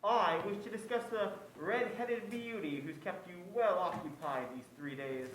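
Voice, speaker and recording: A man's voice: high-pitched, moderate pace, a bit bright. A man playing a wealthy, menacing older father in a noir stage play, formal and grandiose in manner. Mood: smug, menacing. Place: indoor stage play performance. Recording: echoey stage, distant mic, faint noise.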